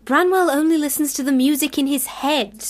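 A woman's voice: high-pitched, moderate pace, close to an everyday voice. An actress in a radio play as a blunt, wry young woman with a dry wit.

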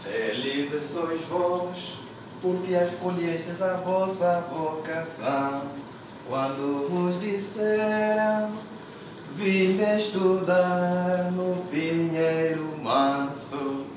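A man slowly intoning a solemn blessing in a tiled kitchen. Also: high-pitched, fairly even intonation. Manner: solemn, drawn out. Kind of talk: slow chanted blessing. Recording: echoey kitchen, distant mic, noise.

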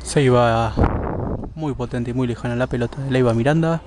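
An Argentine man giving measured live tennis commentary from an outdoor court, pausing between plays. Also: mid-range voice. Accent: Argentine Spanish. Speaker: amateur tennis match commentator. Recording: noisy amateur camera audio, clicks.

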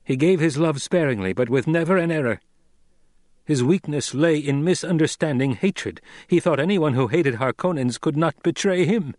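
A man voicing a young nobleman in an audiobook, a fiercely loyal son who speaks with deliberate, commanding intensity and deadly control. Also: on the high side, expressive, darkish tone, no room sound, studio quality, voice pushed up, lightly acted. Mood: solemn, passionate. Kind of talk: audiobook character acting.

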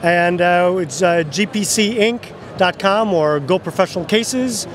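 A man's voice: sits high, unhurried. A man in his sixties casually explaining as an exhibitor in an interview, friendly, with frequent hesitations. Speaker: case company exhibitor. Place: exhibit booth in large arena. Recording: clear expo-hall background noise.

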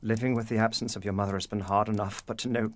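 An actor playing a weary widowed father in a period audio drama, speaking earnestly and haltingly in formal phrasing. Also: lowish voice, flat delivery, lightly acted. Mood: grieving, guilty.

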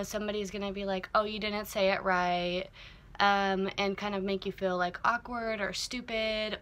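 A young woman chatting casually to camera in a small wood-panelled houseboat cabin, full of fillers and animated asides. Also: mid-range voice. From United States. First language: English. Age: young adult. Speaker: vlogger. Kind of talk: casual vlog chat to camera. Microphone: laptop camera at close range.

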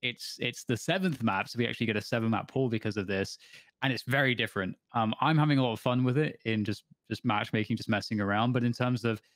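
A man's voice: medium pitch, a bit bright, no echo. A man hosting an interview over a video call, asking long questions full of restarts. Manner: quick, rambling questions with restarts. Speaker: interview host.

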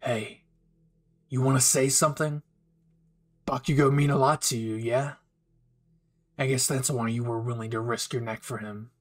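A man's voice: medium pitch, steady tone, normal speed, long pauses, pitched below the performer's own voice, lightly acted. A man playing an unhinged, bitter anime villain with a menacing, taunting manner, nursing an old betrayal in a dramatic fan roleplay. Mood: quiet, menacing. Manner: menacing, taunting, swinging from quiet to outbursts.

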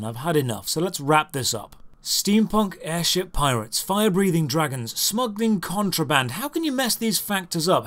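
A young man narrating a scripted video game review with dry, exasperated sarcasm. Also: high-pitched.

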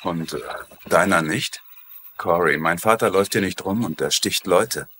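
A man's voice: low voice, moderate pace, pushed and bright, slight background noise. An actor playing a defensive young man in a dubbed TV mystery, earnest and insistent about his father. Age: young adult. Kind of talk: dubbed TV mystery drama acting.

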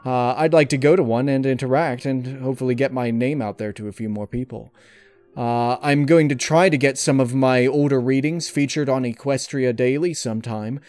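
A man giving casual interview answers in a halting, wordy way, often amused. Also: mid-range voice, a little mellow. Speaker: interviewee, audio story reader. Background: music behind the voice.

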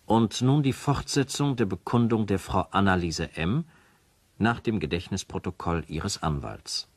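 A man's voice: low voice, expressive, unhurried, no room sound. A man announcing documents in formal, clipped radio play narration.

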